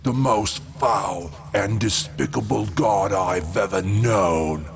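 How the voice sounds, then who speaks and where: A man playing a weary, defiant old enslaved god in a motion comic, accusing and declamatory in a gravelly voice. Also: fairly low pitch, slow, over music. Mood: scornful, accusing.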